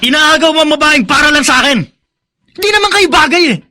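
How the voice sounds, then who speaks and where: A man's voice: extremely high pitch, quick pace, pushed and bright, exaggerated voice. An actor playing a cocky, conceited young ladies' man in a Filipino radio drama, boastful and insistent in a Filipino accent. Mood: angry, threatening.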